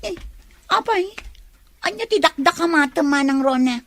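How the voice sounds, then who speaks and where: Defensive flustered schemer, very high, sharp female voice, Ilocano accent, melodramatic radio serial. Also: lively intonation, moderate pace, a little hiss or hum. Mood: flustered, evasive. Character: evasive, flustered, scheming woman hiding something. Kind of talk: Ilocano radio drama acting.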